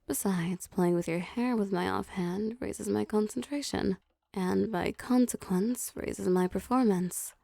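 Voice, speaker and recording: Woman acting as a vampire executive, female, mellow, gravelly edge, possessive, teasing, soft with whispers, intimate roleplay audio. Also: mid-range voice, dry recording. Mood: calm, affectionate. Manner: slow, teasing, pampering, softly commanding. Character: possessive, doting vampire CEO woman, wealthy and assertive.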